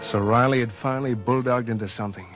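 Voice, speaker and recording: A man's voice: medium pitch, fast, a bit bright, natural voice. A man playing a world-weary cop who narrates an old-time radio crime drama in a terse, brooding, hardboiled manner, on a vintage recording. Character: world-weary male cop narrating his own guilty downfall. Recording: dull vintage radio recording, music behind.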